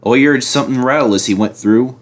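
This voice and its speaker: A man's voice: mid-range voice, a little fast, pitched above the performer's own voice, lightly acted. A man voicing a proud, cross little male tank engine in a storybook, curt and matter-of-fact.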